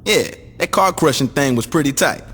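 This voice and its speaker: An actor playing a brash, jealous young gang lieutenant in a video game, slangy and indignant. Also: male voice, a little high, animated, moderate pace, a bit bright, husky. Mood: cocky. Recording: long reverb.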